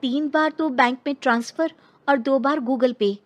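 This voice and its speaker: A woman's voice: sits high, unhurried. A woman playing an earnest, wronged young divorced woman in a dramatised story. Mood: anxious, insistent.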